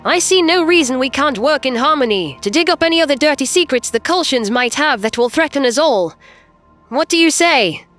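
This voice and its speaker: A woman playing a composed human starship captain in a sci-fi audio drama, earnest, measured and persuasive. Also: sits high, expressive, a bit bright, soft background music. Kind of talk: audio drama character acting.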